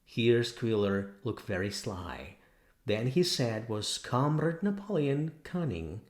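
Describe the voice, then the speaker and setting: Male audiobook narrator, lowish pitch, scripted literary storytelling. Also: a little mellow.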